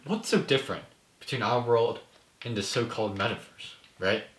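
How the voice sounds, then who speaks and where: Guy explaining his movie casually, keeps checking in like right?, echoey room, medium pitch. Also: moderate pace, a little hiss or hum. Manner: explanatory, often ends points with "right?". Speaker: filmmaker answering questions. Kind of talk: casual post-table-read discussion.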